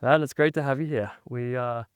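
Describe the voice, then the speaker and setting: A man's voice: mid-range voice, fairly even intonation, fast, clean tone, dry recording, clean studio sound. A man from Australia, hosting a podcast, asking questions in a friendly, upbeat way. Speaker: podcast host. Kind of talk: casual interview hosting.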